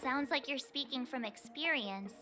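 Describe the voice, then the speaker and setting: A woman's voice: high-pitched, normal speed, natural voice. A woman voicing a cheeky, perky teenage girl adventurer in a video game, with a breezy, teasing manner. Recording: background music throughout.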